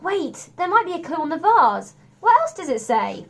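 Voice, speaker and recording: Casting: woman playing an earnest, reassuring young boy in a children's tale, high and expressive. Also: moderate pace, gravelly, heavily acted. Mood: urgent. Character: earnest young boy, eager puzzle lover, patient helper. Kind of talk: children's storybook character voice.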